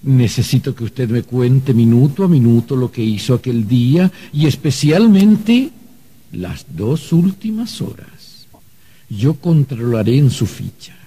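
Husky, fussy archangel bureaucrat. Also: male voice, medium pitch, very animated, a little slow, warm tone. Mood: officious, measured. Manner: hesitant, pompous, hemming and hawing. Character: fussy, flustered male archangel bureaucrat in heaven. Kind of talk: Spanish-language radio drama acting.

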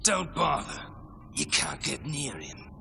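Gravelly, taunting Australian rogue. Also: male voice, fairly high pitch, huge pitch swings, slow pace, sharp tone, a little hiss or hum, full character voice. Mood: mocking. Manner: taunting, slangy. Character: sly, mocking male cyborg mercenary, rough and gloating. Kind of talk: video game cutscene acting. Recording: music under the voice.

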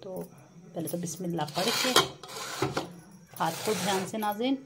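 Female home-cooking vlogger, unhurried and homely, speaking to viewers over a sizzling pan. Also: medium pitch, heavy background noise. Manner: addresses viewers often as nazreen, unhurried and homely. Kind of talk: casual step-by-step cooking narration. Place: home kitchen beside frying pan. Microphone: phone camera over the stove, hands only. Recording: home kitchen with loud frying sizzle. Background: oil sizzling in the pan.

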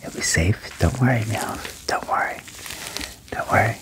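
A young man whispers gently and reassuringly in an ASMR medical roleplay as a doctor, close to the microphone. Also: on the low side, normal speed, poor audio. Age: young adult. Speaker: ASMR performer role-playing a doctor. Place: small indoor room. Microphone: close desk mic with furry windscreen.

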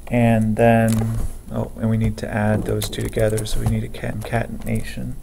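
Man narrating a coding screencast: flat, gravelly, unhurried, light background hiss. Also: lowish voice. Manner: slow, methodical, thinking aloud while typing. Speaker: programming teacher. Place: at a computer, keyboard typing heard.

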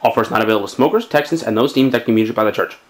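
A man playing a rapid-fire announcer reading the fine print of a parody commercial. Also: mid-range voice, natural voice. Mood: brisk, official.

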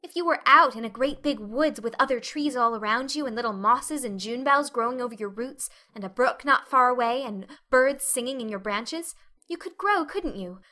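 A woman's voice: a little high, normal speed, pushed and bright. A woman voicing a talkative, dreamy, dramatic orphan girl with an earnest, effusive manner in an audiobook. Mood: eager, happy.

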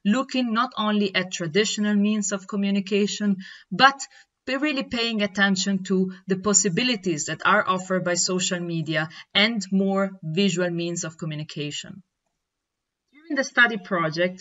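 A woman's voice: mid-range voice, bright, forceful tone, dull audio. A woman lecturer interviewing students over a video call, speaking formally. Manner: formal, measured questioning. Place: home room with bookshelf. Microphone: video call webcam.

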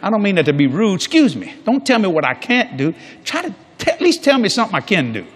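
A man's voice: sits high, huge pitch swings, fast, husky. An older man preaching a sermon on a large church stage before a congregation, folksy and jokey, acting out quoted people in different voices.

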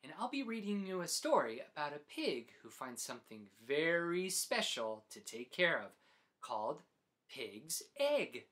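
Slow, animated man reading a storybook. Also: on the high side. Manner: deliberate, dramatic phrasing. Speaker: host reading picture books aloud. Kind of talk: expressive children's storybook narration.

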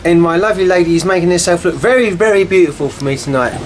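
A man's voice: high-pitched, fast talker. A man, chatting jokily and cheerfully while vlogging a cruise on a handheld camera. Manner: chirpy, jokey, upbeat. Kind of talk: casual travel vlog. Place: cruise ship, indoors and on deck. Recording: loud crowd and background noise.

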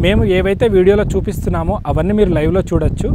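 A middle-aged man with a Telugu accent giving a sales pitch to camera as a company chairman, recorded outdoors. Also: fairly even intonation, racing, over loud music. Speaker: company chairman promoting his venture. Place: outdoors on an open plot site by a highway. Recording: noisy outdoor location sound.

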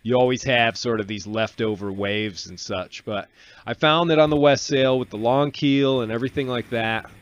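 A man in his forties giving a relaxed, casual voice-over on a sailing clip from a small cabin studio, talking into a desk mic. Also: mid-range voice. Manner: relaxed, explanatory, with restarts. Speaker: solo sailor vlogger. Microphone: desk microphone on boom arm.

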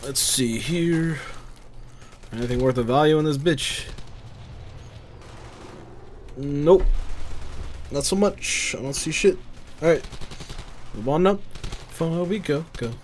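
Higher-voiced man swearing through game commentary. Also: measured pace, pauses often, a little hiss or hum. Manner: profane, muttering to himself. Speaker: gamer, let's play creator. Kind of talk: casual gameplay commentary. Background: game gunfire and battle sounds.

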